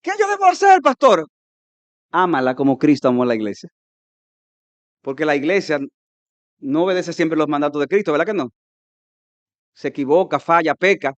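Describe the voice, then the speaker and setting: A man with a Dominican Spanish accent preaching a conference sermon, emphatic and rising to loud peaks, mimicking his listeners' objections. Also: fairly high pitch, no echo. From Dominican Republic. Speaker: pastor.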